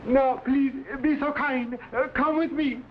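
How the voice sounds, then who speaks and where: An actor playing a sly, fawning Chinese innkeeper in a stage Chinese accent, with stilted, humble broken English in a vintage adventure serial. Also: male voice, very high voice, steady tone, unhurried. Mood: fawning welcome. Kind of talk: 1950s television adventure serial acting. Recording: thin phone-like old broadcast, clearly noisy.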